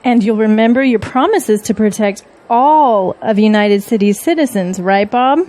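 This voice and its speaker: A loyal female political confidante, played by an actress, with a fairly high voice. She is level-headed and measured, gently cautioning in audio drama style. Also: close to an everyday voice.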